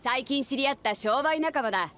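An actress voicing a bold, hearty, protective woman ship captain in an anime game, with rough, commanding, masculine speech. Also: high voice, expressive, normal speed, a bit bright, a little hiss or hum. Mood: hearty, brisk. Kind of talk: anime video game voice acting.

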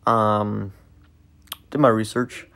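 A man's voice: fairly low pitch, flat delivery, slow, gravelly, fairly bright tone. A man hosting a reaction video, chatting casually and repeating lyrics back under his breath.

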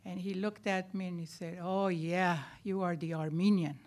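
An older woman giving a personal account as an academic panelist, speaking deliberately with emphatic stresses into a table microphone in a lecture room. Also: fairly low pitch, level tone, a little mellow. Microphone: microphone on a table stand.

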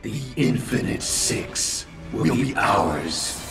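A man's voice: lowish voice, very animated, full character voice. A man playing a power-hungry, scheming villain leader in a video game, theatrical, in an echoey distant recording. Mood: scheming, triumphant. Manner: slow, theatrical. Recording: echoey, distant, under clear music.

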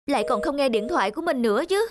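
An emotive, high-voiced young cartoon woman. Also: animated, very fast, background noise. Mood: lovesick, wistful. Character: young office women friends, one lovesick, one caring. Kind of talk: animated film voice acting. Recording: loud music behind the voice. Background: loud music.

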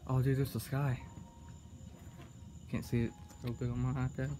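A young man filming himself exploring a dark abandoned warehouse at night, talking in a hushed, casual way into a handheld tablet. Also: medium pitch, flat delivery, moderate pace, background noise. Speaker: vlogger exploring an abandoned building. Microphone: handheld tablet camera.

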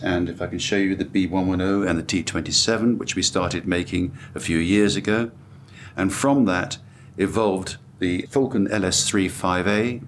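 Low-voiced older British man presenting. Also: slight background noise. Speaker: company director presenting products. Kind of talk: informative product walkthrough. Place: hotel room at an audio show.

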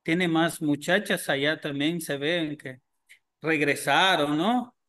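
An older man hosting a video call, speaking Spanish in a gentle, unhurried, pastoral way. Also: on the high side, big-room sound. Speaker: host.

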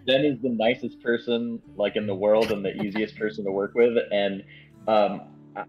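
A man chatting casually as a writer being interviewed, halting and repeating his words. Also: fairly low pitch, level tone, soft background music. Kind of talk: casual chat. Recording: dull lo-fi voice call sound.

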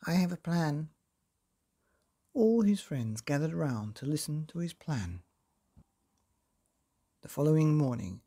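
A man reading a children's book as an expressive bedtime storyteller, deliberately and with dramatic pauses. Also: on the high side, vocal fry, slightly rough.

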